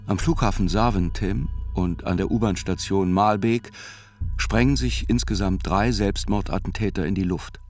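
A man narrating a documentary radio drama as a sober chronicler, in a measured, precise and reflective way. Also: on the low side, darkish tone, close to an everyday voice. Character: male chronicler soberly reconstructing terror attacks. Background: faint music.

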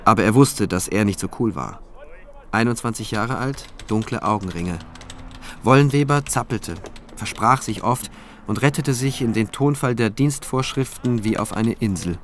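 A man's voice: on the low side, normal speed, a little hiss or hum, natural voice. An actor playing a state prosecutor who narrates his case in a reflective, dry, observant first-person style for a radio drama. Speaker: narrator and lead character.

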